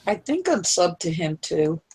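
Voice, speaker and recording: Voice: medium pitch, sing-song, unhurried, darkish tone, husky, poor audio. A speaker with a New York accent, chatting casually on a livestream. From Long Island, New York. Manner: chatty, asks questions. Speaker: stream guest. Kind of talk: casual chat.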